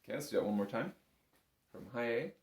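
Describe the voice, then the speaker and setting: A man's voice: medium pitch, lively intonation, quick pace, very noisy. A man giving an informal, conversational music lesson as an instructor, explaining exercises in an encouraging, self-effacing way. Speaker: bagpipe instructor. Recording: roomy, echoing indoor sound.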